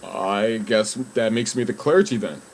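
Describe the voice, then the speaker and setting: A man's voice: lowish voice, unhurried, a little hiss or hum. An actor playing an earnest, anxious young man in a radio play, hesitant and easily flustered. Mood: flustered, bluffing. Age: young adult.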